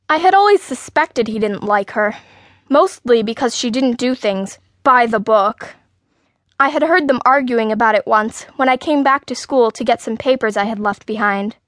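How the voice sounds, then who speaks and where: A woman's voice: high voice, fairly bright tone, no echo, clean studio sound. A woman reading a children's story in the first person, as an indignant, outspoken sixth-grade girl. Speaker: audiobook narrator.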